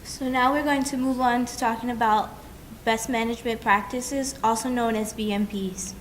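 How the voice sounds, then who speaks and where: A young woman from Chicago presents to her class in a plain, explaining tone. Her voice sits a little high. Faint room ambience is heard behind her. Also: fairly even intonation, moderate pace, a little hiss or hum. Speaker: student presenter. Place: lecture room.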